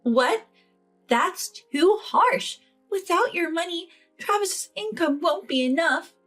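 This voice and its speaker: A woman's voice: very high-pitched, lively intonation, takes it slowly, a bit bright, faint music behind, pitched above the performer's own voice, heavily acted. A woman voicing a spoiled, entitled young bride in a dramatized family story, whiny, indignant and pleading. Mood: pleading, shocked.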